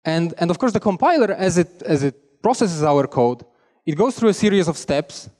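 A young man with a Polish accent talks through a headset mic on a big conference stage, his voice sitting a little high for a man. He is chatty and self-deprecating and often restarts his sentences. A long hall reverb trails behind his words. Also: expressive, normal speed, a little mellow. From Poland. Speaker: conference speaker. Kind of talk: technical conference talk.

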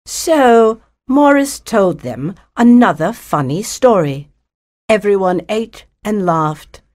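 A woman narrating a children's story from a script, in an expressive storybook manner. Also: medium pitch, slow pace.

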